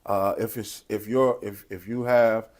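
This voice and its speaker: A man speaking as a pastor and producer in a post-show interview, with a deliberate, halting delivery. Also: fairly low pitch, steady tone.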